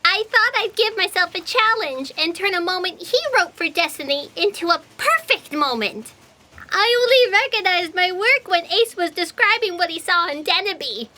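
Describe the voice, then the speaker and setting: A guilt-ridden young female intern in a comic audio drama. Her extremely high, bright voice is plaintive and earnest, pleading with melodramatic worry. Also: lively intonation, normal speed, full character voice. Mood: confessional.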